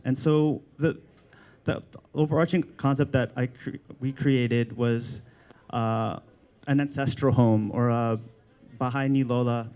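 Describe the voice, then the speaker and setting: A man's voice: medium pitch, dark tone. A Filipino American man, an art director, speaking at a book launch with frequent ums and restarts. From United States. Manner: hesitant, many ums and restarts.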